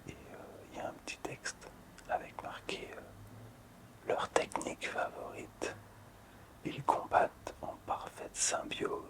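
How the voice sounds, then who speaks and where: Male ASMR host, fully whispered and breathy, soft hesitant delivery with repeated phrases. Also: slow. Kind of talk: whispered ASMR presentation of collectible cards.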